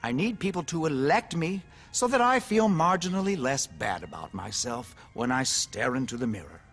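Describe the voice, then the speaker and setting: An actor playing a smug, cynical, needy politician in a video game, with a pompous, glib and effusive manner. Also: male voice, medium pitch, lively intonation, moderate pace, fairly bright tone, soft background music. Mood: candid, impatient. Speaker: politician character. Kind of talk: video game cutscene acting.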